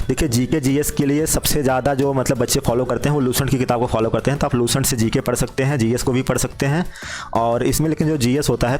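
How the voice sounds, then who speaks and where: Male presenter, mid-pitched, brisk informal explainer delivery with repeated key points, over background music. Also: a little mellow. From India. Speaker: job exam guidance presenter.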